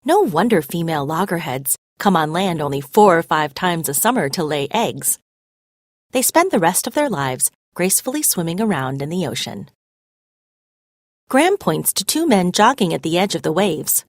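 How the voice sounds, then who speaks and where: A woman narrating a scripted story as a read-aloud, expressive and clearly phrased. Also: on the low side, normal speed, dry recording, studio quality.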